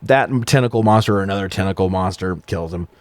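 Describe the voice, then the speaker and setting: A man's voice: low voice, fast talker, no room sound. An American man co-hosting a casual comedic movie recap, sarcastic and freely swearing. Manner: profane, sarcastic. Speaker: podcast co-host.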